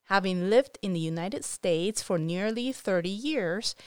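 A woman's voice: fairly low pitch, no room sound. Female co-host, reads the introduction, welcoming, dry close mic. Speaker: podcast co-host. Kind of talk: reading a guest introduction.

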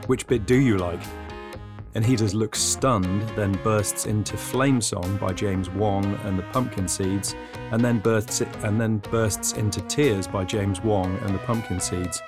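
Deadpan guy, low voice, rambling comedy podcast on his phone, echoey bathroom sound. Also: measured pace, over music. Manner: deadpan, rambling, drops articles. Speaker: podcast host. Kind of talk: absurdist comedy monologue. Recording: phone recording with reverb plugin, bathroom echo.

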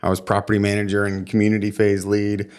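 A man with a fairly low voice co-hosts a casual podcast. He talks in a measured, hesitant way, restarting his sentences. Now and then he slips in a dry pun. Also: monotone, a little mellow, clear, resonant tone. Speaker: podcast co-host, former lodge property manager.

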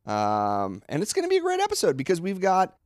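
A man's voice: sits high, huge pitch swings, fairly quick, no echo, clean studio sound. A young adult man co-hosting a podcast, talking in a loose, rambling way, restarting sentences and slipping into playful impressions. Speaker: podcast co-host. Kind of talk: casual chat.

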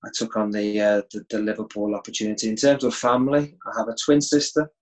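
A man's voice: fairly low pitch, quick pace. Middle-aged guy, laid back, chatty, on a video call from home. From England. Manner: relaxed, easygoing with chuckles and restarts. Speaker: guest. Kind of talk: casual interview chat.

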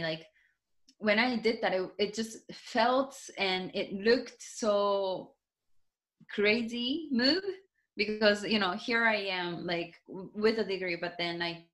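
A woman with a Japanese accent, whose first language is Japanese, answers interview questions as an author. Her pitch sits in an ordinary middle range for a woman. She talks in loose, rambling restarts full of fillers. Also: a bit bright. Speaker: guest, author. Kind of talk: casual conversational interview.

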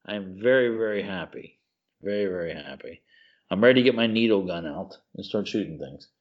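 A man with a fairly low voice chats casually as a podcast co-host. He is effusive and slangy, often restating a phrase to drive it home. The recording is a little dull and lo-fi. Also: animated, fairly quick. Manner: effusive, slangy, repeats himself for emphasis. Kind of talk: casual chat about video games and movies.

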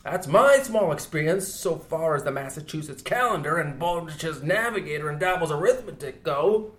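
A man reading an audiobook, voicing a jovial, talkative second mate in a brisk, loud, chattering manner. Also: fairly high pitch, lively intonation.

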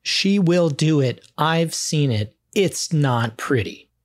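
A woman playing a sulky, suspicious teenage boy in a comedic table read, grumbling and deadpan. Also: sits low, takes it slowly, full character voice.

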